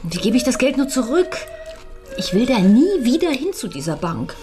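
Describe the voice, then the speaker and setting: A woman's voice: a little high, big pitch swings, normal speed, over music. An actress playing an anxious, helpless widowed mother in a German radio crime drama, hesitant and plaintive.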